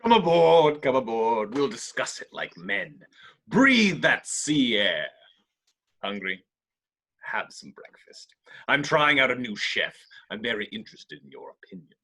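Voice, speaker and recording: A man's voice: mid-range voice, big pitch swings, a little slow, bright, forceful tone, exaggerated voice. A man playing a grandly hospitable, coaxing villainous pirate captain in a table read of a film script. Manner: theatrical, coaxing.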